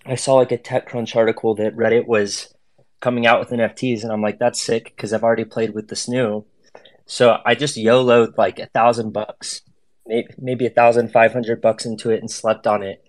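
A man's voice: mid-range voice, flat delivery, moderate pace, a little mellow. Slangy, casual man with mid-range voice. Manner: slangy, informal. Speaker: guest artist. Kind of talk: casual storytelling and explaining. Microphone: voice chat.

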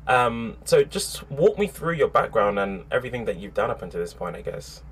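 A man hosting a podcast, reading a lively intro and then interviewing a guest in a friendly, eager way. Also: mid-range voice, fairly quick, husky. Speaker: podcast host.

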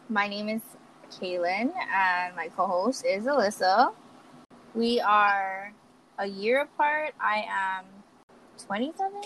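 A woman's voice: medium pitch, a bit bright, low-quality recording. 20-something woman from hawaii, chatty podcast cohost, laughs a lot, light background hiss. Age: 20s. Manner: chatty, laughs often, filler words. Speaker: podcast co-host. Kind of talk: casual chat.

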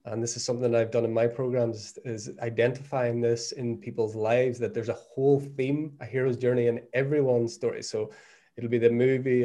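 A man's voice: mid-range voice, warm tone. A man in his forties with a Northern Irish accent, talking earnestly and reflectively as a podcast guest, often repeating words as he thinks. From Northern Ireland. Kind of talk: reflective conversational podcast talk.

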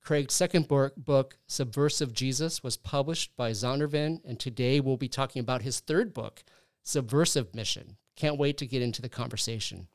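A man's voice: mid-range voice, normal speed. A man hosting a faith-based podcast interview from a university studio, friendly and chatty, with fillers and easy laughter. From Southern California. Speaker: podcast host.

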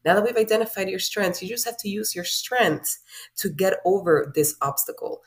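A woman's voice: mid-range voice, animated, normal speed. Woman life coach from Honduras, reflective and encouraging guest. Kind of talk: casual podcast interview.

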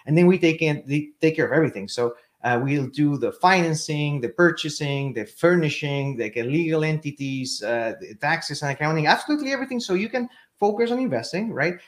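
Halting Chilean man with fillers. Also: fairly high pitch, expressive. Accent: Chilean Spanish. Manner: halting, many repeated words and fillers. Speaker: guest, real estate investor. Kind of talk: casual interview chat.

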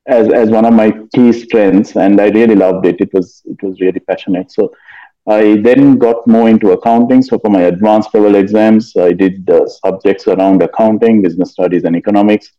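Middle-aged Sri man, lower voice, measured and hesitant, dull lo-fi recording. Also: fairly even intonation, dark tone. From Sri Lanka. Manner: measured, hesitant with frequent fillers. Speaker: guest, finance executive and author. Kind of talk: casual interview answers.

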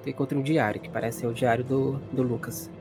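Brazilian game master with music behind him. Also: male voice, medium pitch, steady tone, fast, a little mellow. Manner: casual, conversational. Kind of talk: improvised narration of a tabletop horror game.

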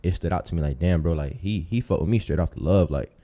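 Podcast guest: American male, early twenties, low mellow voice, loose slangy storytelling. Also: quick pace. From Tampa, Florida, United States. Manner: slangy, informal street talk. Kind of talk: casual chat, storytelling.

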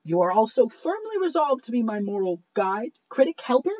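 A woman's voice: on the high side, sing-song, brisk, darkish tone, lo-fi sound. A woman playing a coy, demanding young lady in a cold reading of a romantic period comedy. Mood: coy, insistent.

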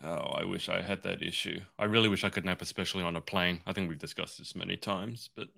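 A man's voice: sits low, fairly even intonation, fast, creaky, pushed and bright. A man hosting a podcast in a casual, teasing chat with guests over a video call. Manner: teasing, jokey. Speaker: podcast host.

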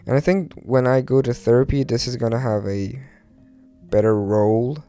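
A man's voice: medium pitch, steady tone, moderate pace, warm tone, clear, resonant tone, soft background music. A man from Iran who later moved to Turkey talks alone as a podcast host in a rambling, confessional way, hesitant and self-deprecating, swearing casually.

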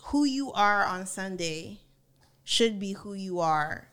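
A woman's voice: mid-range voice, animated, slow pace, fairly bright tone, clean tone. A woman co-hosting a casual faith discussion, reflecting and building her points as lists.

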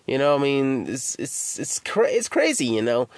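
A man's voice: medium pitch, big pitch swings, normal speed, dry recording. A man hosting his own podcast in casual solo chat, rambling and stammering, swearing freely as he talks. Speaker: podcast host.

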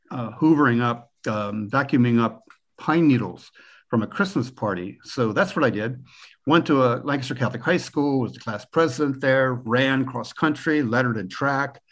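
A man in his sixties from Pennsylvania with an American accent, a guest on a podcast telling relaxed, rambling stories with the odd chuckle. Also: medium pitch. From Pennsylvania, USA. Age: 60s. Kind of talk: relaxed conversational storytelling.

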